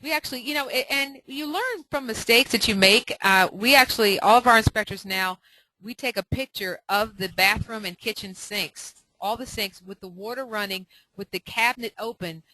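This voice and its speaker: A woman hosting a professional web conference call, putting questions in a somewhat halting way. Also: fairly high pitch, bright, forceful tone, no room sound, rough recording. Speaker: host, inspection mentor.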